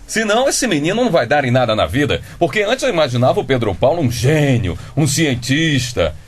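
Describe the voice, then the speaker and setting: A man's voice: on the high side, big pitch swings, brisk, breathy edge. An actor playing a stern, pedantic middle-aged father with a Brazilian accent in a comedic radio drama. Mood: exasperated. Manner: pedantic, sardonic, exasperated.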